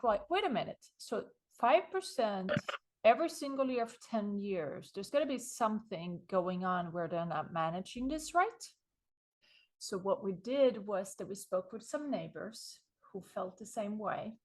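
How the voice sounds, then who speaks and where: A middle-aged woman from Sweden with a Swedish accent, being interviewed and telling her story in a lively way with frequent small laughs. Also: mid-range voice. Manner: animated storytelling, chuckles often. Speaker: guest author. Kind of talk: conversational interview.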